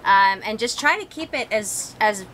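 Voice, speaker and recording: A woman's voice: medium pitch, expressive, unhurried, pushed and bright, slight background noise. A North American woman chatting casually as co-host of a vlog, answering viewer questions. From born in the United States, grew up in Canada.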